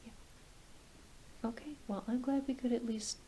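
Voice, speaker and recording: A woman playing a gentle, reassuring doctor in an ASMR roleplay, speaking softly and hesitantly, often dropping to a whisper. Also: on the high side, fairly even intonation. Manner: hesitant, unhurried, apologetic and reassuring. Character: gentle, reassuring female doctor examining a werewolf patient. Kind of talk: soft-spoken ASMR medical roleplay. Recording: constant soft background hiss.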